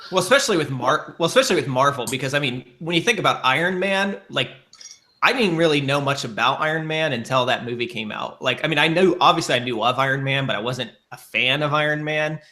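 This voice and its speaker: Bright-voiced man in casual podcast chat. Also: medium pitch, fast. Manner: rambling, many fillers and restarts.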